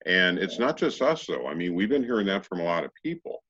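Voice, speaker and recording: Deep-voiced man, dry muffled sound. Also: very animated, a little fast, fairly bright tone, breathy edge, dry recording. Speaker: radio show co-host. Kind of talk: casual conversational talk about spirituality. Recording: dull, lo-fi audio.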